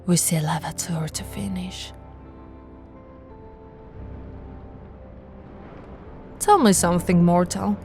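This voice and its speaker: A woman's voice: on the low side, fairly even intonation, gravelly, music in the background. A woman playing a possessive, aristocratic vampire in an ASMR roleplay, haughty and seductive, drawing out her words and dipping into whispers. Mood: predatory, commanding. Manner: haughty, sultry, drawn-out delivery with whispered phrases. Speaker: voice actor.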